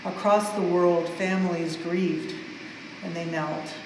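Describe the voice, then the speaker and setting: A woman reading a rhyming storybook aloud in an expressive, measured way, as for a museum storytime. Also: lowish voice. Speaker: museum staff member reading a children's book aloud. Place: indoors in a museum exhibit space. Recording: very echoey reverberant hall, faint background noise.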